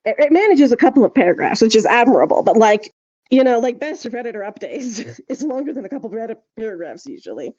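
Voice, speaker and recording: A woman's voice: fairly high pitch, sing-song, fast, dark tone, breathy edge, lo-fi sound. A woman chatting casually and emphatically as a podcast co-host, wry and discursive, with plenty of "like" along the way. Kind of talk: casual opinionated podcast chat.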